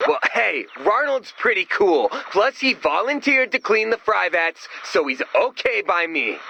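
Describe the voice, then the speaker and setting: A man playing a fussy, awkward base cook in a video game, with a halting way of talking. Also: high voice, sing-song, gravelly, sharp tone, heavily acted. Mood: defensive. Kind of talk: animated video game character dialogue.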